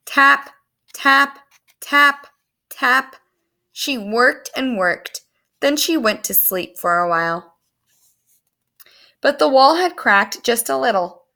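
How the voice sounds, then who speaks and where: A woman reading a story aloud to young children in an animated, expressive storytelling manner. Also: sits high, slow, long pauses. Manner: animated, explains words to young listeners.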